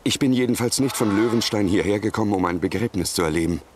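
A man's voice: lowish voice, quick pace, background noise, lightly acted. A man playing a loyal, determined warrior in a game cutscene, resolute and matter-of-fact. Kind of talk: dramatic game cutscene acting. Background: loud game music.

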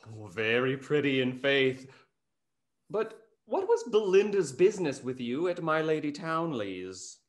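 A young man playing a snarky, gossip-loving gentleman in a period comedy, with a wry theatrical manner. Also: on the high side, very animated, unhurried. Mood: amused. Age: young adult. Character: snarky, gossip-loving male gentleman, rake's best friend. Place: indoor room, video call with earphones.

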